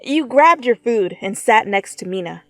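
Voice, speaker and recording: A woman's voice: fairly high pitch, animated, moderate pace, fairly bright tone, dry recording. A woman reading fan fiction aloud as a dramatic second-person story narration. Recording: close, dry, music behind.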